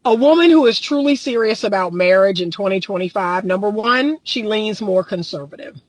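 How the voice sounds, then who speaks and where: A woman's voice: medium pitch, lively intonation, moderate pace, dry recording. A woman in her thirties, a relationship coach, talking bluntly and casually from her car, reading viewer comments, laughing often. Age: 30s.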